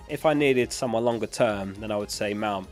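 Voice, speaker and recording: A man's voice: fairly low pitch, moderate pace, soft background music. A man chatting casually as a livestream host, reading out and answering viewer questions in a matter-of-fact way, his voice starting to give out from being unwell. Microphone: close dynamic studio microphone.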